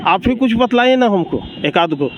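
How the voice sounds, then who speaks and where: A man's voice: sits high, lively intonation, moderate pace. A man with a Bihari accent casually sharing his opinion in a street interview, outdoors. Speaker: member of the public being interviewed. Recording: dull lo-fi, noisy street traffic.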